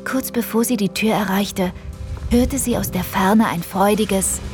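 Mid-pitched woman narrating a story. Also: a little slow, music in the background.

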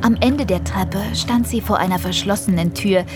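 A woman's voice: mid-range voice, moderate pace. A woman narrating a children's audio drama in a scripted storybook style. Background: music.

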